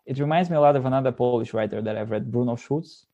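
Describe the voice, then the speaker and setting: Mellow, dark-toned man chatting casually. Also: medium pitch, fast talker, lo-fi sound. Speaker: podcast guest, literary translator. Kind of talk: casual interview chat.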